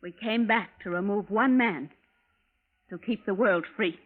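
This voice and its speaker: An actress playing a calm, devoted assassin from the future, speaking in a measured, formal way on a muffled old radio recording. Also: female voice, mid-range voice, lightly acted. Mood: resigned, tender. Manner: measured, formal, without contractions. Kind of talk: 1940s radio drama acting.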